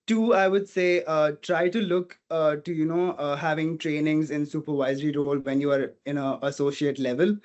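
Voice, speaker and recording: A man's voice: on the high side, fairly even intonation, normal speed, hardly any pauses, a little mellow, muffled recording. A man from India with a Portuguese mother tongue, a hospitality student speaking earnestly as an interview guest, thinking aloud as he goes. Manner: earnest, thinking aloud with frequent "you know". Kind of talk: casual interview talk.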